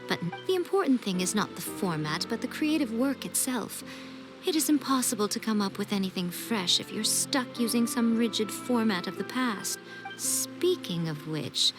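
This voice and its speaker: A woman playing an elegant, sly and playfully persuasive noblewoman in a video game, with music behind her. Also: a little high. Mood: persuasive, coy. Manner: polished, coy, playfully persuasive. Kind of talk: video game cutscene acting.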